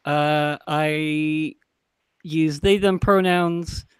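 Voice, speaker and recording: A man's voice: fairly high pitch, fairly even intonation, very slow, clean tone, no echo, clean studio sound. A man speaking casually as a podcast panelist on a remote call. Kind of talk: casual self-introduction.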